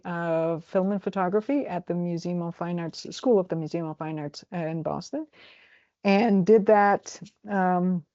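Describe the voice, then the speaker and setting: A woman in her forties from Croatia with a Croatian accent, answering interview questions in a halting way with many fillers and restarts. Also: lowish voice, darkish tone, dry recording, muffled recording. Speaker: travel agent. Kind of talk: casual interview.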